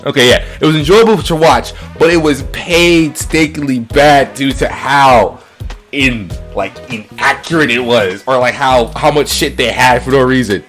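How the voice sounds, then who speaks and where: A man's voice: mid-range voice, normal speed, a bit bright, faint music behind. A man chatting casually and profanely as a podcast co-host, rambling and repeating his phrases. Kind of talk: casual, profane chat about movies.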